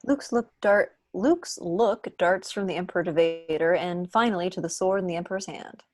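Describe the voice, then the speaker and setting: A woman's voice: lowish voice, moderate pace, dry recording, studio quality, faint music behind. A young woman reading screenplay stage directions as scripted narration on a video call from home. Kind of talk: scripted narration at a table read.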